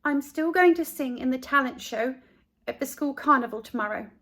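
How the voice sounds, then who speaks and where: A woman's voice: high-pitched, normal speed, a bit bright, voice pushed up. A woman voicing an offended young schoolgirl in a storybook, with a firm, indignant manner. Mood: huffy, defiant.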